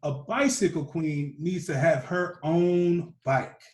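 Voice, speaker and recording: A man's voice: fairly high pitch, takes it slowly, darkish tone, slight background noise. A plucky young storybook girl, as a male reader puts her on. She speaks with emphatic determination in a raised voice. The recording has a roomy echo. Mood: confident. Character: plucky eight-year-old girl who loves bicycles.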